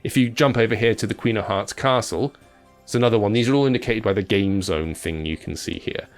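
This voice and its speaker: A man's voice: on the low side, brisk. Chatty man doing casual gameplay commentary. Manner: chatty, informal, slangy. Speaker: games presenter. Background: music.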